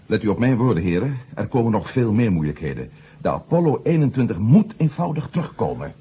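Very deep, husky doom-sayer. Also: male voice, sing-song, brisk, exaggerated voice. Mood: insistent. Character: gloomy, suspicious man warning of sabotage and disaster. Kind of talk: 1970 Dutch radio drama acting. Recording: dull old radio recording.

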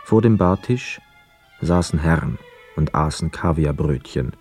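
An actor narrating a literary radio play as a sober, observant storyteller with a mellow voice. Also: male voice, animated, a little slow, faint music behind, heavily acted. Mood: reflective. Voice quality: deep, mellow. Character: sober, observant male first-person narrator.

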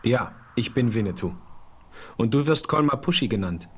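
An actor playing a noble Apache chief in a radio play, speaking calmly and formally. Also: male voice, mid-range voice, normal speed, breathy edge. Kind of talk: 1970s radio play acting.

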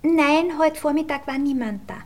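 An actress playing a demure young housemaid with a Viennese accent, deferential and matter-of-fact, in a radio play. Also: female voice, sits high, normal speed, darkish tone, lightly acted. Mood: obliging.

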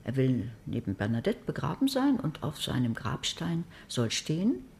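Husky, ironic older woman. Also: a bass voice, huge pitch swings, normal speed, darkish tone, exaggerated voice. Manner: dry, ironic. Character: dry, sceptical older woman, narrator. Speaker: narrator. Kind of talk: radio drama acting.

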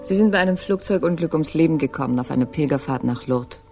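Cool, mellow, creaky-voiced teasing woman. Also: low-pitched, quick pace, faint music behind. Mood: cool, matter-of-fact. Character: cool, elegant, teasing woman in crime story. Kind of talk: 1960s German radio drama acting.

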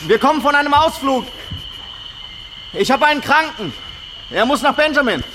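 An actress playing a firm, guarded river traveller in radio drama dialogue. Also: female voice, sits high, lively intonation, moderate pace, a bit bright. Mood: guarded, calling out. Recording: outdoor insect and boat noise.

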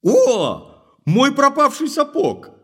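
An actor playing an impulsive, forthright young baronet in an audio drama, speaking emphatically and earnestly. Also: male voice, extremely high pitch, wildly swooping pitch, takes it slowly, heavily acted. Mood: excited, grateful.

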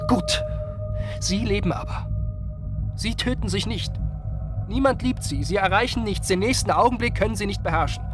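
A man's voice: high voice, huge pitch swings, normal speed, long pauses. An actor playing an agitated, anxious young man who pleads and accuses, in emotional German radio drama style. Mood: agitated accusation. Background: music.

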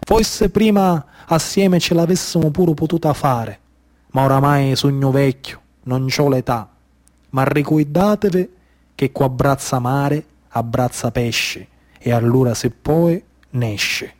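A man's voice: medium pitch, slow, a little mellow, natural voice. A man playing a nostalgic old Sicilian tuna-fishing chief in a theatre monologue, speaking emphatic, colloquial Trapani dialect-laced speech. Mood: resigned.

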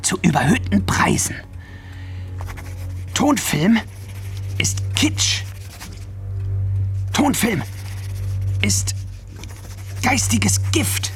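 An actor playing a man who dictates a fiery proclamation, speaking slowly and emphatically. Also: extremely high pitch, full character voice. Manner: slow, emphatic, building to a rant.

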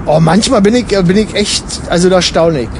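An easygoing, self-satisfied traveller played by a man with a Berlin accent and a high voice. He talks in halting, colloquial radio play banter, childishly pleased with himself, over clear ambient noise. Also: lightly acted.

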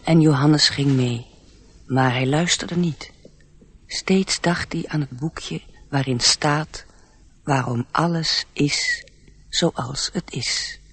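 An actor playing a measured storybook narrator in a radio drama. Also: male voice, fairly high pitch, a bit bright, breathy edge, heavily acted. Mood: grave, storytelling.

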